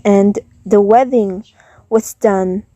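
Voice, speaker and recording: A woman's voice: mid-range voice, steady tone, slow pace, dark tone, no echo, dull audio. A woman narrator reading scripted storytelling narration of a folk tale.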